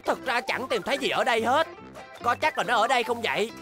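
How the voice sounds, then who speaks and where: A woman voicing a grumbling, excitable, sleepless young bear in a children's cartoon dub, with a whiny, dramatic delivery. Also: a little high, fast, close to an everyday voice. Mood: frustrated. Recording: background cartoon music.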